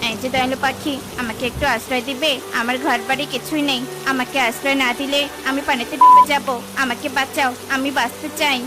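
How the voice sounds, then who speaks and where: A woman's voice: high-pitched, steady tone, moderate pace, hardly any pauses, lightly acted. A woman voicing a small, helpless baby rabbit who begs for shelter in a cartoon, with music behind her. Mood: pleading. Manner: pleading, helpless. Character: small frightened baby rabbit, secretly a fairy.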